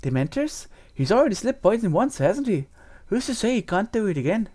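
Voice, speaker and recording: A woman's voice: medium pitch, sing-song, fairly quick, warm tone. A woman playing a spoiled, sneering schoolboy bully with a haughty, taunting, theatrical delivery. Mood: smug.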